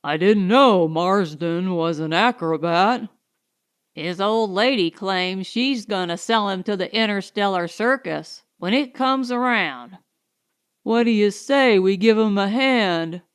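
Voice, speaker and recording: A woman's voice: mid-range voice, slow pace, clean tone, dry recording, clean studio sound, close to an everyday voice. A woman voicing a mocking small-town man in an audiobook, jeering at someone. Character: snickering, mocking small-town male onlookers. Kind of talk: audiobook character dialogue.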